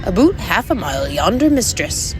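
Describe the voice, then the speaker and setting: A woman's voice: mid-range voice, big pitch swings, moderate pace, over music, natural voice, poor audio. A woman voicing a tiny male pixie aviator in a storybook, polite and deferential, with a Scottish accent. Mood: polite, matter-of-fact.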